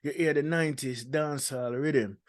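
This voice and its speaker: A man's voice: mid-range voice, moderate pace, no room sound. Dancehall guy being interviewed, lots of ums, dry close sound. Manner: hesitant, many ums and restarts. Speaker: dancehall artist guest. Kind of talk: casual interview talk.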